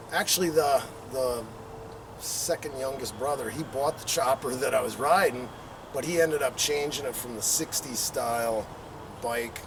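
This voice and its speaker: A man's voice: medium pitch, creaky. A middle-aged man telling a casual, rambling anecdote, acting out bits as he goes, recorded outdoors on a patio from a distance. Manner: rambling, acts out quotes and engine sound effects. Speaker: storyteller. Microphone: camera several meters away. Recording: distant mic, outdoor background noise.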